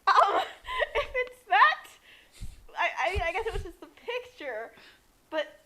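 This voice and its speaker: A woman co-commentating in casual comic banter, incredulous and questioning, with halting restarts. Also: very high-pitched, very animated, pushed and bright. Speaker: co-commentator reacting to the reading.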